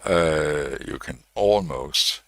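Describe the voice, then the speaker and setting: A man from Denmark hosting a live electronics design stream, talking through his steps in a relaxed way with many fillers and restarts. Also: very deep voice, extremely slow, gravelly, no room sound, studio quality. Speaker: electronics channel host.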